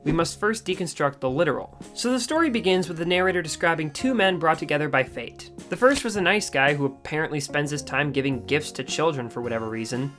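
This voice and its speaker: A man's voice: a little high, animated, fast talker, hardly any pauses, fairly bright tone, faint music behind. A man narrating a scripted comedic review as the host, in a sarcastic, mock-scholarly manner.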